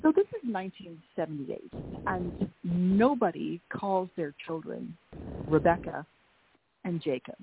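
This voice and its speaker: A woman's voice: on the low side, expressive, measured pace, a little hiss or hum. Author telling her family story over a phone line. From Canada. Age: middle-aged. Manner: storytelling, repeats words while recalling. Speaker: author guest.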